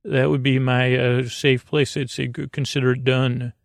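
This is a man with a distinctly creaky, gravelly voice, recorded dry with no room sound. He hosts in a soothing bedtime style, rambling through hesitant, goofy tangents. He often breaks off and restarts his thought as he meanders along. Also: medium pitch, level tone, normal speed, no echo. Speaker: podcast host.

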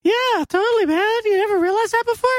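A man's voice: extremely high pitch, fast, no echo, clean studio sound. A man co-hosting a podcast who teases his partner in casual chat. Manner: teasing, playful. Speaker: podcast co-host.

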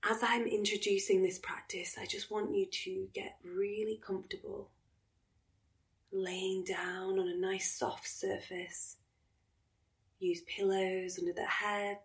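A woman guiding a relaxation meditation in a soothing, unhurried manner, with phrases trailing into breathiness. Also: mid-range voice, level tone. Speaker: yoga nidra meditation guide.

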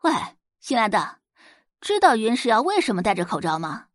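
An arrogant teenage schoolgirl bully, acted out by a woman narrator. The voice is high and swings widely, brash and mocking, in audiobook style with a very dry, close sound. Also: sing-song, moderate pace, no room sound. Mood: sneering, mocking. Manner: brash, sneering, cackling. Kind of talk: audiobook character acting.

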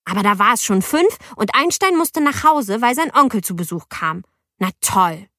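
A woman's voice: fairly high pitch, dry recording, studio quality. A woman voicing an indignant ten-year-old girl who narrates her misadventures expressively in an audiobook. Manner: expressive, youthful, exasperated. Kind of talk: audiobook first-person narration.

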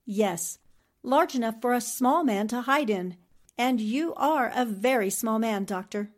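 An actress playing a shrewd, confident newspaperwoman sleuth in a 1940s-style radio mystery, deliberate and probing. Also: on the high side, animated. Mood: probing, suspicious.

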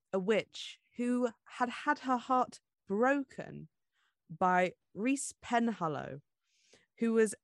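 A woman's voice: on the low side, no room sound, clean studio sound. A woman co-hosting a podcast, chatting casually about books. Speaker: podcast co-host. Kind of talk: casual book chat.

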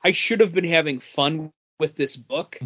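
A man's voice: on the high side, normal speed, fairly bright tone, breathy edge. A middle-aged man co-hosting a podcast, chatting casually about comics. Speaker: podcast co-host. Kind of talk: casual comic book chat. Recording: dull, muffled lo-fi audio.

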